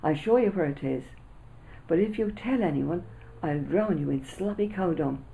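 A woman's voice: lowish voice, animated, moderate pace, creaky, dark tone, slight background noise, low-quality recording. A woman voicing an easygoing, grinning roly-poly boy in a storybook reading, speaking with confident, knowing ease.

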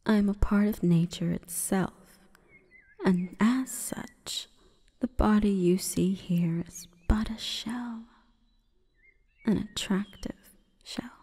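Female forest spirit, played by a woman for ASMR roleplay: mellow breathy whisper, solemn and seductive, in long reverb. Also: mid-range voice. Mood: solemn, hushed. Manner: slow, breathy, formal and commanding.

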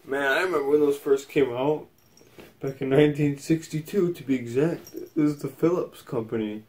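A young man giving a casual, partly scripted history presentation in a loose, halting way, recorded indoors a few meters from a phone camera. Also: a little high, darkish tone, slight background noise. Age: young adult. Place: small indoor room at home.

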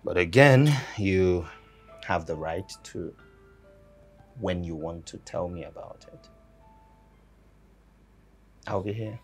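A man acting an earnest, apologetic husband in a film drama, halting and stammering when pressed. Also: low-pitched, soft background music. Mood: stern, concerned. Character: earnest, apologetic husband who gets loudly indignant.

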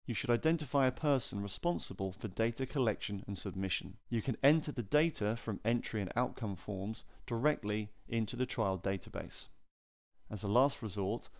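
A man narrating a scripted training film in a measured, formal, clearly enunciated way. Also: fairly low pitch, lively intonation.

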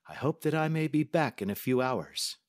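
A man's voice: mid-range voice, lively intonation, normal speed, pitched above the performer's own voice, close to an everyday voice. A man reading aloud and voicing a middle-aged male detective in a precise, formal, assured manner. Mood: brisk.

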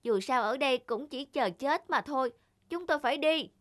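A woman's voice: high-pitched, expressive, normal speed. A woman voicing a small, brave female toad in a folk-tale cartoon, earnest and resolute. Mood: determined. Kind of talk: animated folk-tale dialogue. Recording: loud music underneath.

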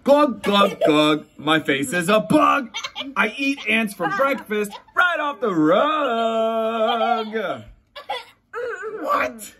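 A man's voice: very high voice, animated, extremely slow, crowd noise. A man in his thirties reading a picture book aloud to young children, playful and theatrical, with mock reluctance and exaggerated silly voices. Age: 30s. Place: child's bedroom at home. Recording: children laughing and chattering behind.

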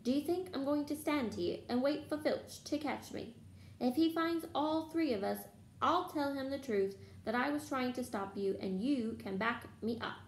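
A woman's voice: a little high, animated, unhurried, vocal fry, a little mellow. An indignant young schoolgirl witch from a storybook, acted by a woman reader. She scolds and snaps in a raised, bossy voice. The recording is echoey, with faint noise behind. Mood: insistent, indignant. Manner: hissing, snapping.

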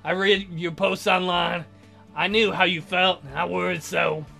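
A man voicing a caring, practical young woman from a visual novel, gently scolding and teasing. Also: high-pitched, level tone, measured pace, creaky, soft background music, exaggerated voice. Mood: gentle, sincere.

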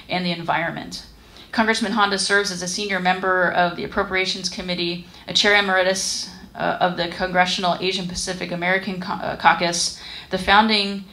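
Gravelly-voiced woman reading an introduction. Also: lowish voice, pushed and bright, rough recording. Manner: reads from notes with fillers. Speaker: event emcee introducing the speaker. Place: large hall on a stage. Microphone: podium microphone.

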